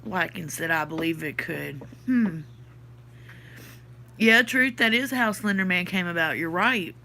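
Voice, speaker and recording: A woman's voice: fairly low pitch, expressive, a little slow, creaky, sharp tone, slight background noise. A woman from the Southern US with a Southern accent, stuffy from her sinuses, chatting casually on a livestream and answering comments from her chat. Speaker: podcast host reading live chat.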